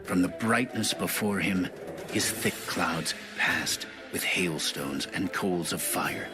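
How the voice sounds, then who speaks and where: Deep, slow man reading scripture over music. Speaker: Bible narrator.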